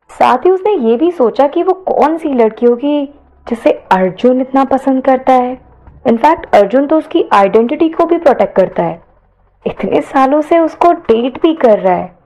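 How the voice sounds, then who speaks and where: A woman's voice: a little high, warm tone, poor audio. A woman narrator reading a scripted audio story.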